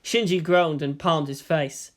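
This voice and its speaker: A man's voice: a little high, steady tone, measured pace. A man reading fan fiction aloud as scripted audiobook narration.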